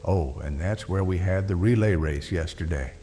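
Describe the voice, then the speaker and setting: A man's voice: deep voice, moderate pace, in a large hall, soft background music, pitched below the performer's own voice, natural voice. A man voicing a cheerful, confident young boy at a summer camp, narrated in a horror story. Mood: confident, explaining. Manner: cheerful, steady, as if rehearsed.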